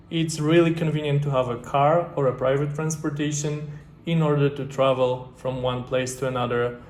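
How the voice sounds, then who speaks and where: A man hosts a travel vlog in a mid-pitched, level voice that hardly rises or falls. His English is steady and explanatory, dotted with fillers. A faint hiss runs underneath. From Poland. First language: Polish. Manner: steady, explanatory, with frequent "uh" and "guys". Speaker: travel vlogger.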